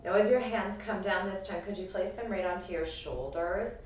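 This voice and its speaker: A woman with a raspy, strained voice gently cueing breath and movement as a yoga and pilates instructor. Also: mid-range voice, normal speed, a little hiss or hum. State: strained voice from overuse. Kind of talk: guided class instruction. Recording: echoey room, voice from far off.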